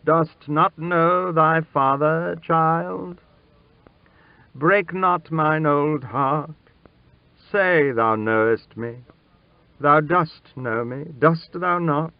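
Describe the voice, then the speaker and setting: A man's voice: a little high, extremely slow, dry recording, highs cut off, voice pushed up. A man voicing an ailing old king who speaks to his child in stately, archaic words with fatherly tenderness and sorrow. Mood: tender, pleading. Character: stern old ailing king turned gentle, grieving father.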